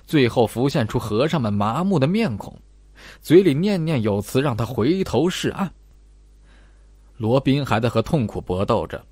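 A man reads a suspense horror audiobook in a medium-pitched, husky voice. His scripted delivery is dramatic, with wide swings in intonation, and the recording is close and dry. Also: lively intonation, normal speed, a little mellow, no echo. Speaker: audiobook narrator.

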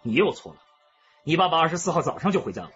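A brisk, assertive police detective. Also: male voice, a little high, big pitch swings, a bit bright, dull audio, faint music behind. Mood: firm rebuttal. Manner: assertive, emphatic. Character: firm, sure male police detective laying out evidence. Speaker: actor in a radio drama. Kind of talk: radio drama acting.

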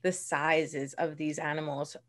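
A woman's voice: low-pitched, flat delivery. Woman from the Bahamas explaining earnestly. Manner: earnest, explanatory, quick bursts between slow stretches. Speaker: marine conservationist guest. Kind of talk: casual interview. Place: home room, indoors. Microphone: video call.

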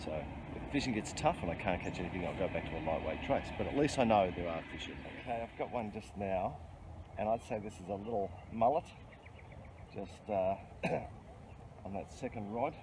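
A middle-aged man explains his fishing setup in a chatty, instructive way, full of ums and restarts. His voice sits fairly low. He is recorded close on a riverbank, with distinct outdoor noise behind him. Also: expressive, fairly quick. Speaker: fishing vlogger. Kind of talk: casual outdoor fishing commentary. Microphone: handheld camera close-up.

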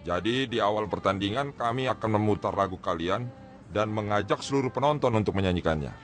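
A man's voice: lowish voice, a little fast, close to an everyday voice. A man playing an eager, encouraging radio station manager in a radio drama.

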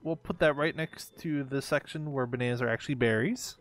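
A man's voice: mid-range voice, fairly quick. A man improvising comic game roleplay, goofy and mock-dramatic. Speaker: gaming co-player. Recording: faint game music behind.

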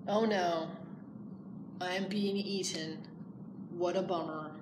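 A woman's voice: fairly low pitch, fairly even intonation, slow, roomy sound, background noise. Woman reading as an exasperated little storybook rabbit. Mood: deadpan. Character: exasperated, sarcastic little rabbit dodging being eaten.

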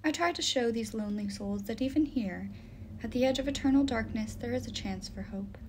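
A woman voicing an ancient river nymph in an audiobook, soft-spoken, formal and measured, with a mysterious, patient sorrow. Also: a little high, slight background noise, lightly acted. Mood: wistful, solemn. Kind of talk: audiobook character dialogue.

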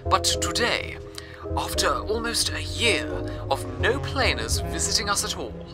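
A male radio host narrates a scripted story in a wordy, measured way. His voice is lively and rises and falls a lot. Clear music plays behind him. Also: sits high.

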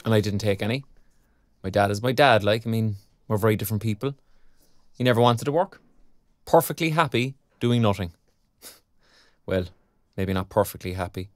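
An actor playing a frank, sardonic young Irish graduate in an audio drama, speaking colloquially. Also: male voice, fairly low pitch, moderate pace, long pauses, dry recording, lightly acted. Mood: reflective, frank. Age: young adult. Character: young underemployed arts graduate, frank and wry. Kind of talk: naturalistic audio drama dialogue.

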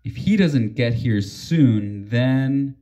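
A man reading a children's storybook aloud in a theatrical, deliberate way. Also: medium pitch, lively intonation, warm tone, a little hiss or hum. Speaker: storybook reader. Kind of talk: expressive children's read-aloud.

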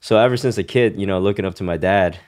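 A man's voice: deep voice, fast, darkish tone. A man in his thirties who grew up in Hong Kong, a podcast guest telling his life story in loose, casual talk full of "you know" and "right?". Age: 30s.

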